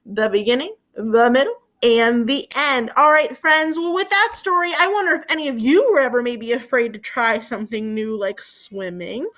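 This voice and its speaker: A woman's voice: a little high, normal speed, few pauses, a bit bright. A woman teacher reading aloud to young children, addressing them as friends and prompting them with questions. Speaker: elementary school teacher.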